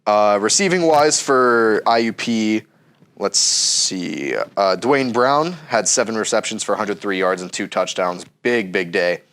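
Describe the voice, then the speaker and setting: A man's voice: fairly low pitch, measured pace. A young man co-hosting a casual sports chat, sounding stuffy from a cold as he works through stats with frequent ums. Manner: steady, methodical, frequent ums. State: stuffy, under the weather. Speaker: co-host.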